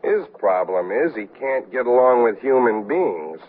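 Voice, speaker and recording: A man's voice: medium pitch, expressive, a little slow, slight background noise, close to an everyday voice. An actor playing a tipsy, disgruntled company man who rambles and confides sardonically in a 1970s radio comedy. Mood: amused, gossipy. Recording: thin, phone-like vintage radio recording.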